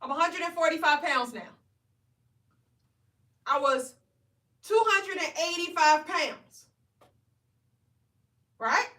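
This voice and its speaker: A woman, coaching weight loss on a live stream in an energetic, preachy way, often asking "right?". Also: very high-pitched, takes it slowly, pauses often, roomy sound, far from the mic. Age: 40s. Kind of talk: live stream motivational talk.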